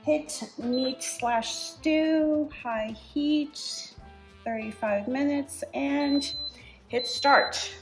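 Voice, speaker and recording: A woman's voice: a little high, roomy sound. A woman hosting her own home cooking show, chatting casually through a recipe with filler words and asides.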